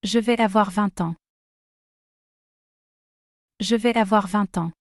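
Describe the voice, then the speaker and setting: A woman slowly and carefully saying short drill phrases twice each for learners to repeat. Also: medium pitch, no echo, studio quality. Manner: careful, deliberate enunciation. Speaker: pronunciation model.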